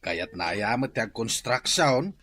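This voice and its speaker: A man's voice: mid-range voice, takes it slowly. An actor playing a polite, hardworking old male construction worker in a radio drama. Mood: earnest. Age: older. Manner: polite, respectful, steady.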